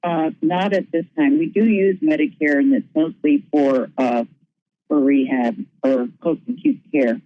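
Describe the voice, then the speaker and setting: A man's voice: fairly high pitch, unhurried, dry recording. A man answering briefly and without a script as a panelist on a call. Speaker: webinar panelist. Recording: dull, band-limited call audio.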